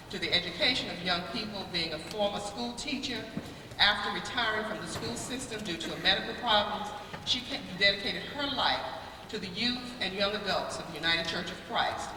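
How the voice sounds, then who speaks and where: A speaker at a youth worship service giving a formal, halting tribute from a stage, with restarts. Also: mid-range voice, background noise. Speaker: worship leader presenting an award. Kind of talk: public address from a stage. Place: large indoor hall. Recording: echoey arena heard from far off.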